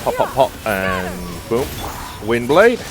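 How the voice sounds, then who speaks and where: A man hosts a gaming video with casual, chatty commentary. His pitch moves around a great deal as he reacts, and game music plays clearly behind him. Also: huge pitch swings, slow.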